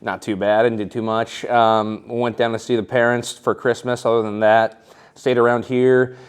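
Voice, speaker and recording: A man's voice: medium pitch, flat delivery, normal speed, smooth. A young adult man from Colorado, a teacher, answering interview questions in an easygoing, plain-spoken way. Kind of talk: casual interview.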